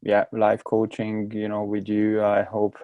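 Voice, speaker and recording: A man's voice: fairly low pitch, completely flat delivery, measured pace, dark tone, no echo, dull audio. A man in his thirties from Hungary with a Hungarian accent, speaking English as a second language and telling his story as a podcast guest, often repeating words as he searches for them. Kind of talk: casual interview talk.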